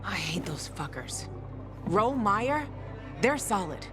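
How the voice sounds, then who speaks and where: A woman's voice: medium pitch, very animated, takes it slowly, over music. An actress playing a tough, protective, foul-mouthed young woman survivor in a video game, blunt and urgent. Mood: scornful anger.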